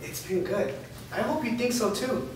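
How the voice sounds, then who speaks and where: A man's voice: high voice, animated, normal speed. A man playing a blunt, self-centred young boyfriend in a staged drama reading. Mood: blunt, dismissive. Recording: echoey room, distant mic, clear noise.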